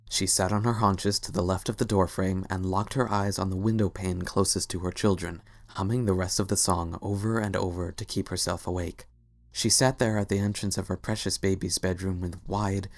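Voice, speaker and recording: A man narrating a scripted story as a dramatic reading. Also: sits low.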